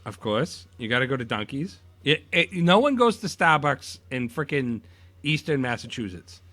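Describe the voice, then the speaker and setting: Animated man, bright voice. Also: medium pitch, moderate pace. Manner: animated, swears freely, many fillers and restarts. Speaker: co-host. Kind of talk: casual chat.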